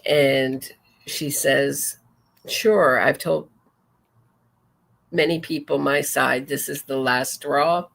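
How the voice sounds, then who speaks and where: A woman's voice: a bass voice, fairly even intonation, slow, creaky, pauses often, fairly bright tone. A woman hosting a true crime talk show, commenting casually and reading messages aloud, often digressing and correcting herself as she goes. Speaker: podcast host.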